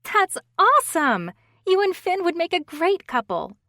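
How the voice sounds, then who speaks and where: A woman voicing an excitable young woman friend chatting on the phone, gushing and teasing, in a dry, close reading. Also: high voice, normal speed, no room sound, pitched above the performer's own voice. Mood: excited.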